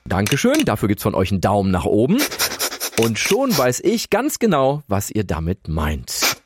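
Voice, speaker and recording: Animated, playful male show host. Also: fairly low pitch, normal speed, noisy background. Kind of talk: playful presenting.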